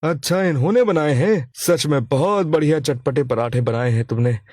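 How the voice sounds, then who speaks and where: An actor playing earnest, emphatic everyday men in a cartoon moral story. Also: male voice, fairly high pitch, normal speed, darkish tone, no echo, studio quality, natural voice. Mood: appreciative. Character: appreciative male customers and concerned husband, everyday men.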